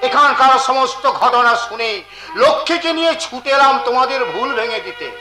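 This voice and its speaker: A man's voice: extremely high pitch, a little fast, sharp tone, full character voice. A man playing an earnest, defiant older father in a folk-theatre drama, declaiming emphatically. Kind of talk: Bengali jatra folk-theatre acting, declamatory. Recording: echoey stage-play recording, faint music.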